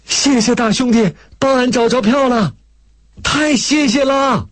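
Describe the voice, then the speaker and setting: Man voicing a high-pitched, flustered country woman. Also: wildly swooping pitch, normal speed, darkish tone, husky, full character voice. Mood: delighted, grateful. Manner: flustered, exclaiming, effusively grateful. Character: distraught middle-aged rural Shandong woman who lost her ticket.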